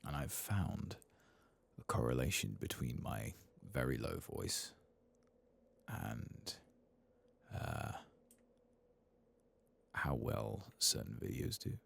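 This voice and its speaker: A man softly commenting on his gameplay in half-whispered, mumbling asides, his voice lower than usual after singing, close to the microphone. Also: big pitch swings, slow, long pauses. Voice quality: very deep, gravelly and husky. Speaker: ASMR gaming channel host. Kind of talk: soft-spoken gameplay commentary.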